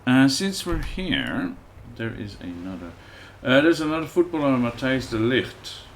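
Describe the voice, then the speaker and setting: A man's voice: medium pitch, measured pace, slight background noise. A man streaming a video game, casually thinking aloud and chatting with his viewers as he plays. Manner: relaxed, thinks aloud and chats with viewers while playing. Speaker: livestreamer playing a video game. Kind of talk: casual let's-play commentary.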